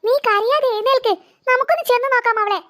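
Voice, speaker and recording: A woman's voice: very high-pitched, fast talker, a bit bright. A woman voicing a caring child friend in a children's cartoon, pleading and insistent. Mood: worried, comforting.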